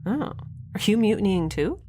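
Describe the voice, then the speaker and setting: A woman's voice: medium pitch, big pitch swings, normal speed, music in the background, natural voice. A woman playing a chatty, naive young mutineer in a science fiction audio drama, rambling cheerfully and informally. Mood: eager, curious. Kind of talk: audio drama acting, conversational.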